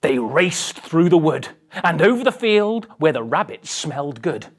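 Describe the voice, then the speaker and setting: Lowish woman reading a kids book out loud, super expressive and dramatic, takes her time. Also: unhurried. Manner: theatrical, dramatic emphasis with hushed passages. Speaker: storybook reader. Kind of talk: animated children's picture book read-aloud.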